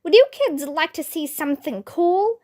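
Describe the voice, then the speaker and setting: A woman's voice: extremely high pitch, lively intonation, moderate pace, warm tone, voice pushed up. A woman voicing a batty, doting female school secretary in a storybook, cheerful and reassuring. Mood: inviting.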